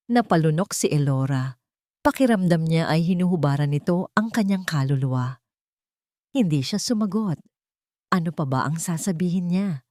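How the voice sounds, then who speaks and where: A woman storyteller with a slightly low voice that rises and falls widely as she reads a romance tale. Her phrasing is deliberate and suspenseful, and the recording is very dry. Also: warm tone, no echo. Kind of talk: dramatic scripted narration of a romance story.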